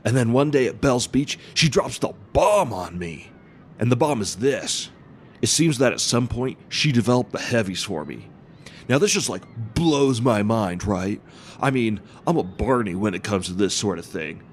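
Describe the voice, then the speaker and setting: A man's voice: medium pitch, expressive, normal speed, slight background noise, lightly acted. A man playing a good-natured, laid-back surfer dude in an audio drama, rambling through stories in slang.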